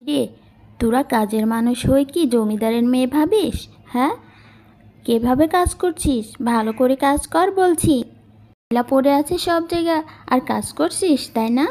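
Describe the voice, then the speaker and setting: A vain young rich girl from a cartoon tale, acted by a woman, imperious and jealous. The voice sits high with a soft, mellow tone, and she orders people about as their mistress. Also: measured pace. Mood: bossy, scornful. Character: haughty, jealous, vain young landlord's daughter bullying servants.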